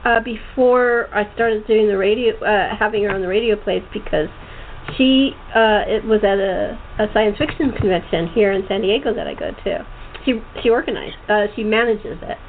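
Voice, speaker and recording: A woman hosting a casual interview chat, talking in a halting, rambling way with repeated words. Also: medium pitch. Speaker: radio show host and voice actor. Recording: tinny phone line with clear noise.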